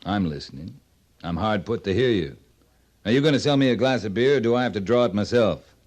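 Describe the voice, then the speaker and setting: A dry, easygoing cavalry captain with a lowish American voice. His delivery is direct and wry, with lively swings in pitch, in a vintage radio Western. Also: a little fast. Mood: dryly amused, insistent. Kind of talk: old-time radio Western acting.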